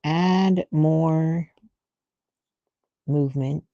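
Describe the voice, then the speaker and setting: Woman, very mellow dark tone, gravelly fry, casual art-stream commentary, musing, trailing phrases. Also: low voice, fairly even intonation, very slow, no room sound, lo-fi sound. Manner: musing, trailing off mid-thought. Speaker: artist streamer thinking aloud while drawing.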